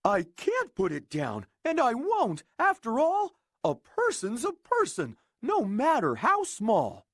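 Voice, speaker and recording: A woman's voice: a little high, wildly swooping pitch, slow, hardly any pauses, slightly rough, no echo, studio quality, voice pushed up, full character voice. A woman doing earnest, loyal Horton the elephant. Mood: determined. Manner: earnest, determined. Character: big, kind, loyal male elephant.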